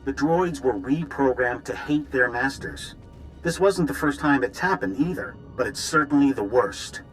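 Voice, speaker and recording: A man's voice: mid-range voice, lively intonation, moderate pace, lightly acted, poor audio. Guy acting a serious spy agency director, urgent clipped briefing, game dialogue with music behind. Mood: grave, urgent. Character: grave, urgent male military intelligence director. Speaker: intelligence director. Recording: dramatic music underneath.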